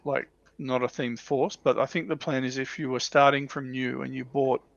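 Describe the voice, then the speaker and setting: A man chatting casually on a hobby livestream, haltingly repeating phrases as he thinks aloud, with muffled audio. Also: mid-range voice, level tone, gravelly, dry recording. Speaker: livestream panellist on a tabletop wargaming show.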